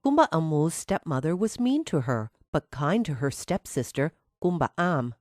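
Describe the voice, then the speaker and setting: A woman's voice: low voice, sing-song, normal speed, a little mellow, no room sound, clean studio sound. A woman narrating a scripted fairy tale for children as a storyteller.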